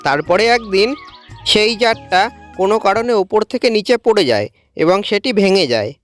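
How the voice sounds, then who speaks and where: A woman's voice: mid-range voice, lively intonation, normal speed, soft background music. A woman reading scripted narration for a cartoon story as its storyteller.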